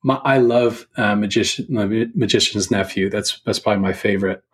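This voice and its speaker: Casting: male podcast guest, lowish slightly rough-edged voice, conversational, hesitant and reflective. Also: brisk. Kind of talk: casual podcast book chat.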